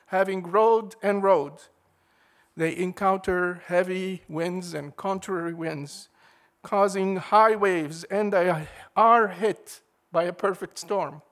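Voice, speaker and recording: A man preaching a sermon in a measured, emphatic way, leaning hard on key words. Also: high-pitched, a bit bright.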